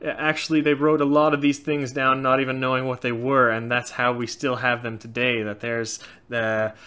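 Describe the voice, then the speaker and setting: A man in his thirties with a light Norwegian accent answers viewer questions in a casual, outspoken way. His voice is bright and crisp. He sits close to a webcam in a small indoor room. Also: mid-range voice, normal speed. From Norway. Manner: frank, animated, gestures a lot. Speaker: channel host. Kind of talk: casual Q&A chat.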